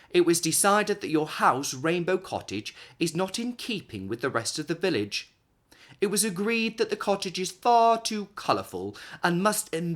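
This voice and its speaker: Guy doing an officious council planner from a kids book, formal and declamatory, higher voice. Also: fairly bright tone. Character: stern, officious council planning committee letter-writer.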